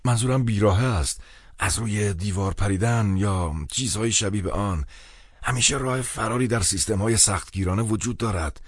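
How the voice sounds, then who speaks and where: Narrator playing a pushy, sardonic, husky man. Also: on the low side, no echo, studio quality, voice pushed up. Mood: pleading. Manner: insistent, exclamatory, wryly mocking. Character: hard-up, pushy, sardonic man pleading with his brother by phone.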